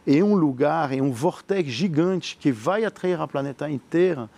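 A man with a French accent speaks Portuguese as a second language, earnest and visionary in his answers. His voice sits a bit high for a man and has a soft, mellow tone. He stumbles often, filling gaps and repeating small words as he builds his point. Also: moderate pace, vocal fry. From France. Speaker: entrepreneur. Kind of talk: interview answers.

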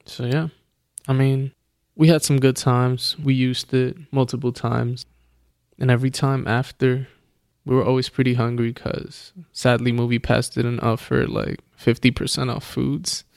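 A man's voice: medium pitch, little pitch movement, measured pace, gravelly, darkish tone, no echo, studio quality. A man chatting casually as a podcast co-host, laid-back and slangy, laughing often. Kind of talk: casual chat. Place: Los Angeles.

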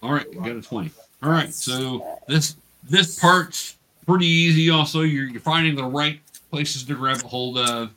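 A man's voice: fairly high pitch, normal speed, few pauses, sharp tone, a little hiss or hum, low-quality recording. A man acting as game master, patiently coaching players and narrating the action in an encouraging, improvised way.

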